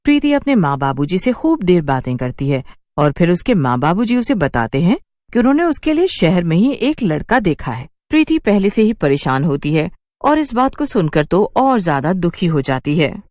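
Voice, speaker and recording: Expressive, lowish-voiced woman narrating a story. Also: moderate pace, no room sound, studio quality. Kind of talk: scripted dramatic narration of a horror cartoon.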